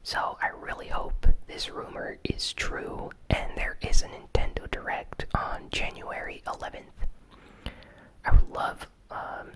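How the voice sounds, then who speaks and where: Slow, hushed ASMR whisperer. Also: poor audio. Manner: soft, unhurried whispering. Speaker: host of a gaming news show. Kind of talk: whispered ASMR news recap.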